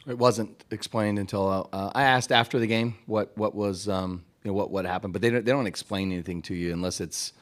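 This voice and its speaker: A middle-aged man, a head coach, answering press questions at a postgame press conference in halting sentences full of restarts, into a table mic. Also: on the low side, fairly even intonation. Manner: halting, many restarts and fillers.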